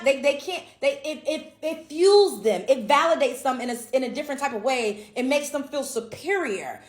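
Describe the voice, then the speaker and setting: High-pitched, emphatic American woman in echoey room. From United States. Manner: emphatic, blunt, swearing. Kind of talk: casual opinion talk to camera.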